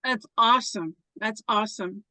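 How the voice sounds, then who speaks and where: A woman's voice: mid-range voice, moderate pace, pushed and bright, dry recording. A woman hosting an interview show, asking questions in a friendly, earnest way. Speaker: show host.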